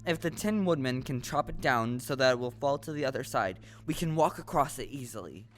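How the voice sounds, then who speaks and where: A man's voice: mid-range voice, animated, a little fast, a bit bright, close to an everyday voice. A man playing an earnest, resourceful straw scarecrow in a dramatic storybook audio play. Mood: thoughtful. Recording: live stage, music and audience noise.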